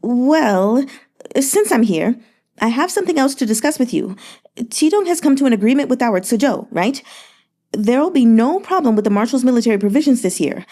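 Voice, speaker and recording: A woman voicing a fawning, smooth-talking young male merchant with a lilting, wheedling way of speaking. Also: on the high side, lively intonation, normal speed, warm tone, voice pushed up. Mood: coaxing. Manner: lilting, wheedling, full of little interjections.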